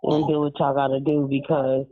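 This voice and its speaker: A woman from California calling in to a radio show, speaking haltingly with lots of fillers. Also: extremely low pitch, level tone. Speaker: caller. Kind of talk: phoned-in comment. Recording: tinny phone line.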